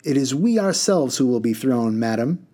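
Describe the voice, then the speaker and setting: A man voicing a sharp-tongued, curt male passenger. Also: medium pitch, lively intonation, moderate pace, close to an everyday voice. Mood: stern.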